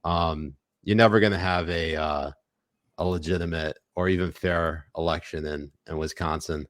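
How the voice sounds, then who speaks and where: A man's voice: sits low, fairly even intonation, moderate pace, no echo, studio quality. A man co-hosting a podcast, chatting casually about politics with sarcastic, mock-serious remarks. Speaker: podcast co-host.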